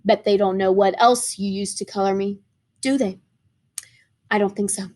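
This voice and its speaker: A woman's voice: mid-range voice, steady tone, moderate pace, mellow, close to an everyday voice. A woman voicing an embarrassed, sulky crayon that speaks in a wry, confiding way. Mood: sheepish.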